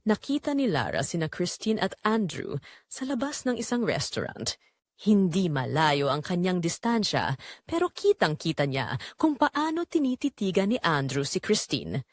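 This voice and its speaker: A woman with a Filipino accent narrating a scripted romance story in an expressive, measured way with dramatic pauses. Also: mid-range voice, no room sound, studio quality.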